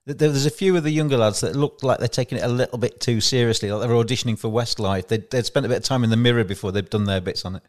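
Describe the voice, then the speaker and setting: A man's voice: mid-range voice, very fast, few pauses. A man hosting a podcast, asking questions in a chatty, friendly way. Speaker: podcast host. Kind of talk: casual interview chat.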